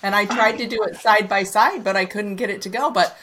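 A woman hosting a relaxed interview, talking over a video call. She sounds enthusiastic, piling up praise with an occasional "um", at a mid pitch. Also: fairly quick, slight background noise, low-quality recording. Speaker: podcast host. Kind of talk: casual interview chat.

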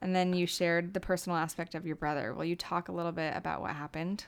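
Host, woman, gentle interviewer who affirms the guest, clean smooth tone. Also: fairly low pitch, flat delivery. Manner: supportive, gentle, quick to affirm. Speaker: podcast host. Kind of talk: podcast interview.

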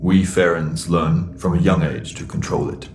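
An actor voicing a grave, law-abiding alien with a protective streak, speaking in terse, measured lines in a sci-fi audio drama. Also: male voice, low-pitched, flat delivery, darkish tone, faint music behind, lightly acted. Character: Throg, grave lawful alien Ferran, secretly protective.

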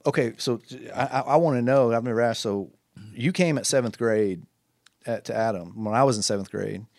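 A man speaks at a middle pitch, recorded close and dry. He asks questions in a friendly, halting way, starting over as he searches for words. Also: a little mellow, no room sound. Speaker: interviewer, former student. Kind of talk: casual interview conversation.

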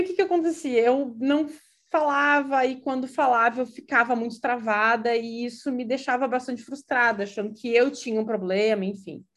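Woman, gaúcho accent, hesitant casual talk, fairly high pitch. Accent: Gaúcho Brazilian Portuguese. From Brazil. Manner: hesitant, many fillers and restarts. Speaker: interviewee. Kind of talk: casual conversational account.

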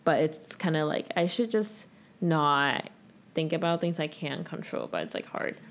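A woman's voice: deep voice, measured pace, creaky, slight background noise. A young woman co-hosting a podcast, chatting casually and rambling with lots of "like". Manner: rambling, heavy use of "like". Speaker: podcast co-host. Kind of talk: casual chat.

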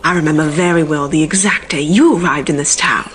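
A woman voicing a chatty, nostalgic elderly townswoman with a fussy, exclaiming manner in an animated film fandub. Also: deep voice, fairly quick, faint music behind, lightly acted. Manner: fussy, exclaiming old-lady delivery.

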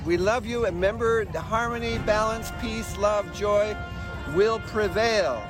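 A man, a local musician, talking with warm enthusiasm outdoors at a parade. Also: high-pitched, a little slow. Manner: enthusiastic, expansive. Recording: music playing behind.

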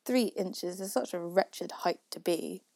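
A woman's voice: fairly low pitch, unhurried, creaky, a little mellow, dry recording. A woman voicing a timid, polite, easily flustered little girl in a storybook, hesitant and pleading. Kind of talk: storybook reading.

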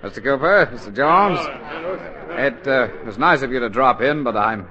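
Man acting a flustered dad, wildly animated pitch. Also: normal speed, crowd noise, close to an everyday voice. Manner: stammering, nervous chuckles, pleading. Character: flustered, beleaguered middle-aged father, nervous and self-pitying. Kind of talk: 1940s radio comedy acting. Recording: dull, band-limited 1940s radio recording.

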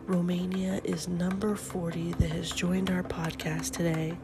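A woman's voice: on the low side, little pitch movement, a little slow, over loud music. A middle-aged woman hosting a podcast in a casual, upbeat morning chat, often half-whispering, with a stuffy nose from a head cold. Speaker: podcast host.

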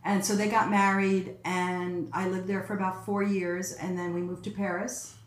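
A woman's voice: mid-range voice, moderate pace, background noise. Older woman telling stories in an echoey room. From Egypt. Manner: chatty, laughing, animated quoting. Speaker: guest, casting director. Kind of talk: casual interview storytelling. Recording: roomy echoey sound.

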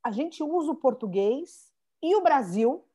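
Animated high-pitched woman. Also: a little slow. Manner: animated storyteller, many restarts and "né". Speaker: founder of a Portuguese school for children. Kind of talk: conversational interview.

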